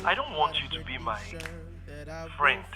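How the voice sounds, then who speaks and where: An actor playing a heartfelt, wounded young man in an audio drama, speaking in a halting, hesitant way. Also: on the high side, wildly swooping pitch. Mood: earnest, romantic. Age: young adult. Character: soft-spoken heartfelt young man, romantic and wounded writer. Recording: music bed behind the voice.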